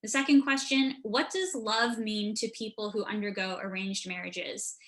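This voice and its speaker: A woman's voice: medium pitch, fairly even intonation, moderate pace, a bit bright. A young woman hosting an evening of staged readings on a video call, introducing the next scene informally. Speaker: host of a staged reading night.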